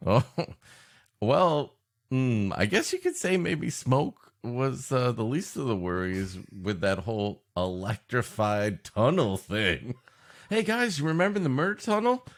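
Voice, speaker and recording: A man's voice: medium pitch, sing-song, a little slow. A man co-hosting a comedy podcast in bantering chat, riffing and often laughing at his own jokes. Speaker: podcast co-host.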